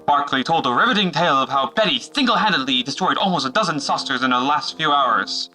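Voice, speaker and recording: Very bright, forceful male narrator. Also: fairly high pitch, lively intonation, quick pace. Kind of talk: scripted dramatic story narration. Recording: music behind the voice.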